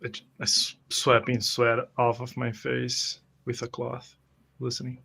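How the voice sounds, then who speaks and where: A man playing a reserved adventurer in a tabletop roleplay, narrating his actions in a terse, hesitant, measured way. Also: medium pitch, completely flat delivery, vocal fry, bright, forceful tone, breathy edge, exaggerated voice. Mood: watchful. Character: slow-moving, reserved adventurer with creaky joints.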